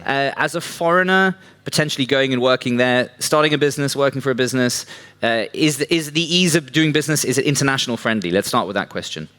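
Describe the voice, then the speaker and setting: A chatty man hosts a live stage talk show, joking with his guest and asking the audience for a show of hands. His voice sits at an ordinary middle pitch. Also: fast talker, fairly bright tone. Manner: chatty, jokey, works the crowd. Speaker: show host.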